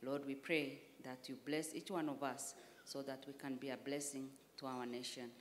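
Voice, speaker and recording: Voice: mid-range voice, flat delivery, normal speed. A person leading a formal public prayer in earnest petitioning phrases. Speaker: prayer leader. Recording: faint background noise and ambience.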